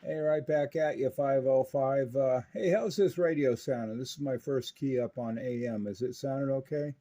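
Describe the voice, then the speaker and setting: An American man narrating radio repair work and chatting on CB radio in a casual, unhurried way with many pauses. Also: mid-range voice, dark tone. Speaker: radio repair technician and presenter.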